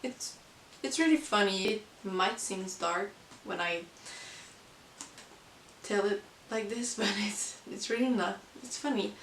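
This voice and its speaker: A woman who is not a native English speaker, chatting casually about books as a vlogger in a halting, hesitant way. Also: medium pitch, fairly bright tone. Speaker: book vlogger. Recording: roomy, distant mic with hiss.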